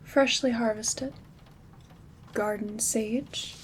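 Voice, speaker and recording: A woman playing a gentle, caring witch herbalist in an ASMR roleplay, soft-spoken and often whispering in a soothing, intimate way. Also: on the high side, steady tone, very slow, poor audio. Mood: calm, caring. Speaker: ASMR performer. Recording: soft rain and handling noise. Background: rain sounds, craft rustling.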